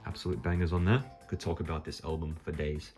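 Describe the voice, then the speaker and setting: A man's voice: very deep voice, flat delivery, fast talker, a little mellow, soft background music. A man with an Australian accent talking casually and off the cuff to viewers as he presents a collection, rambling a little with hesitant asides. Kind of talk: casual unscripted show-and-tell to viewers.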